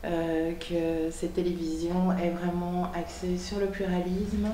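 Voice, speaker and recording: A woman candidate speaking earnestly, pausing on fillers. Her voice is fairly low and echoes in a roomy studio. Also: flat delivery, warm tone, a little hiss or hum. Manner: earnest, committed, with frequent fillers. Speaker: political candidate. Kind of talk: spontaneous speech. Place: television studio in Brussels.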